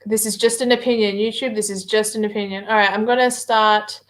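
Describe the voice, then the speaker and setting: A woman's voice: medium pitch, steady tone, a little fast. A woman with an Australian accent talks casually on a livestream, hesitating often with filler words as she answers viewer comments. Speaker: livestream guest reading viewer chat.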